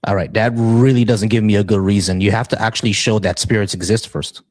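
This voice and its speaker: A man's voice: lowish voice, fairly even intonation, fairly quick, darkish tone, no echo, clean studio sound. A man hosting and moderating a live debate panel, insistent and challenging, often repeating himself.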